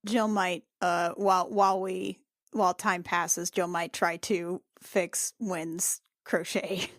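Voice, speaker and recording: A woman's voice: on the low side, flat delivery, slow pace, dry recording, clean studio sound. A woman chatting casually as a player in a tabletop role-playing game.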